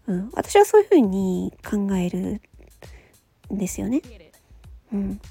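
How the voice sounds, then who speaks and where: Woman doing a casual solo chat, bit of fry and husk in her voice, analytical and questioning, faint music behind. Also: medium pitch, big pitch swings, slow, warm tone. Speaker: host of a solo audio show, amateur voice actor.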